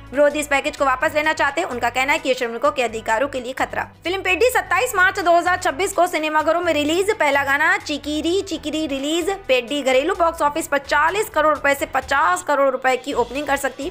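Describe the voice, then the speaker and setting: A woman news anchor reading scripted headlines in a brisk, formal bulletin style. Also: high voice, a bit bright.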